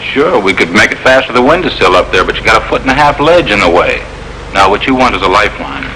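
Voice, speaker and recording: Forceful, fast American fire chief. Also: male voice, on the low side, sing-song. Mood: businesslike. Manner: brisk, technical, plain-spoken. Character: practical, confident fire battalion chief. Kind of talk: mid-century police radio drama acting. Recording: faintly noisy old radio recording.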